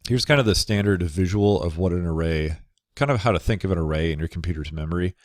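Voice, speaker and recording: A man teaching a programming course over slides, sounding nasal and a bit crackly from a cold, talking in a relaxed way with many fillers. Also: sits low, fast talker, dry recording, studio quality. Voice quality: slightly crackly. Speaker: programming instructor. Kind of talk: recorded lecture over slides.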